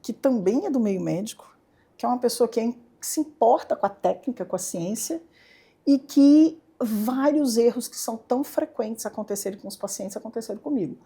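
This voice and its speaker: A middle-aged woman neurologist from southeastern Brazil, guesting on a podcast with a Brazilian Portuguese accent and a lively, playful way of telling her story. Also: a little high, moderate pace, slightly rough. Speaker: neurologist and university professor, guest. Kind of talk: casual interview chat. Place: podcast studio.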